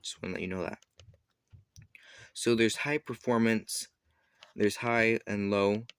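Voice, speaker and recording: A man giving a casual, unscripted product demonstration, explaining things haltingly and correcting himself as he goes. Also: fairly low pitch, fairly even intonation, gravelly, clean tone, no echo, clean studio sound. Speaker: product reviewer.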